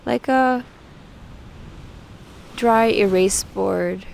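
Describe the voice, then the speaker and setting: Slow-paced woman guiding a meditation. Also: medium pitch, vocal fry, a little mellow, a little hiss or hum. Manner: slow, pausing, soothing instruction. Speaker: guided meditation host. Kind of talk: guided meditation.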